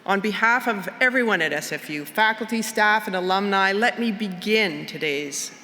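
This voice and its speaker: A middle-aged woman, a university chancellor giving a measured formal address at an outdoor convocation through a podium microphone. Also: medium pitch, sharp tone, slight background noise. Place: outdoor university plaza with a large crowd. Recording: long outdoor PA reverb, faint ambience.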